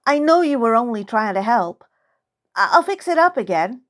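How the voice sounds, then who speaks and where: A woman's voice: a little high, sing-song, moderate pace. A woman voicing a contrite young American boy in an audiobook, speaking with hesitant, stammering apologies. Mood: sheepish, apologetic. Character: contrite young American boy, recently bereaved, eager to make amends. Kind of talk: first-person audiobook character dialogue. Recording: music under the voice.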